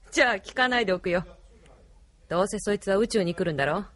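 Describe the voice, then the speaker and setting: A woman's voice: medium pitch, normal speed, close to an everyday voice. A woman playing a cocky, tough military officer in an audio drama, blunt and mocking. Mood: taunting. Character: cocky, teasing female military officer and pilot, tough and predatory. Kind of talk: anime-style audio drama acting.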